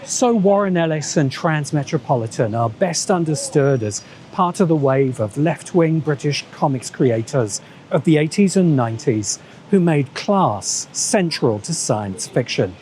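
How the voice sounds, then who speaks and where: A man's voice: fairly high pitch, darkish tone, a little hiss or hum. A man delivering scripted, opinionated video-essay narration in a sardonic, emphatic manner. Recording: music bed under the voice. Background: background music.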